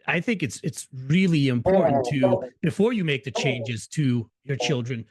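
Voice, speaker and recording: A man's voice: medium pitch, animated, normal speed, a crowd in the background. A middle-aged man who grew up in Hungary, hosting a podcast and chatting loosely, often restarting his sentences. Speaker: podcast host. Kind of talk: casual interview chat.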